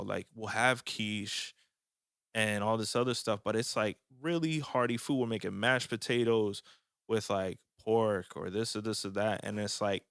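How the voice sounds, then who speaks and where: Podcast co-host, Jersey man, husky fry in a low voice, talkative and animated. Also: dry recording, studio quality. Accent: New Jersey. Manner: animated, chatty, talks with lots of fillers. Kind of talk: casual chat.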